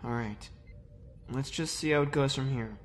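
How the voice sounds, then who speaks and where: A man voicing an earnest young soldier leader who speaks calmly and conciliatorily. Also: mid-range voice, normal speed, a little hiss or hum, lightly acted. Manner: calm, conciliatory.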